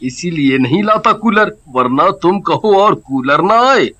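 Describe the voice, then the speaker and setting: A man playing a blustering, flattering village husband and father in an animated story, theatrical and exaggerated. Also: sits high, unhurried, heavily acted. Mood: sweet-talking.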